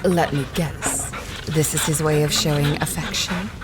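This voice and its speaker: A woman's voice: deep voice, fairly even intonation, measured pace, poor audio. A woman playing a formal, dryly polite envoy for a wealthy employer in a 1930s adventure audio drama. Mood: cool, businesslike. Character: composed, businesslike female envoy of a wealthy employer. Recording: music behind the voice.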